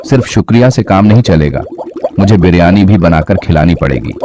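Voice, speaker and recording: A man's voice: low-pitched, expressive, brisk. A man playing a kindly, appreciative regular customer in a cartoon story. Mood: reassuring. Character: kindly adult male biryani customer, generous, appreciative. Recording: clear background noise.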